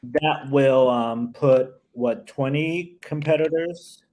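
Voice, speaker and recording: A man's voice: medium pitch, slow pace, a little mellow. A man hosting a panel discussion over a video call, steering the talk while rambling through details with frequent ums and restarts. Faint background hiss sits under his voice. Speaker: host. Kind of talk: casual panel chat.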